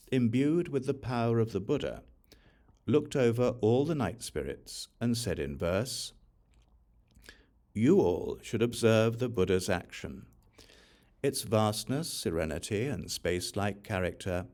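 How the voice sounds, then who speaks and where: Deep, slow-paced male scripture reader. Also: a little mellow. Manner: measured, solemn recitation. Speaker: audiobook reader. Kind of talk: scripted reading of a Buddhist scripture.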